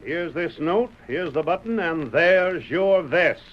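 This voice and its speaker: Frontier sheriff, folksy and blunt, rural Western American drawl, slightly high voice, muffled noisy vintage radio sound. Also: male voice, takes it slowly. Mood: accusing. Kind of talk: 1940s radio western acting.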